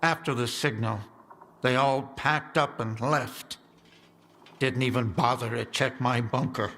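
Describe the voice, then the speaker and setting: A man's voice: mid-range voice, steady tone, measured pace, fairly bright tone. A man voicing a worried male survivor in a video game, explaining things in an earnest, urgent way. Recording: game audio with clear ambient noise.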